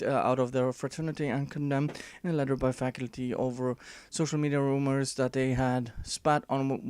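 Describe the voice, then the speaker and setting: Halting man reading an article aloud. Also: medium pitch, darkish tone, clean tone, no room sound. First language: not English. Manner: halting, many "uh" fillers and restarts; dry, sarcastic asides. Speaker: commentator.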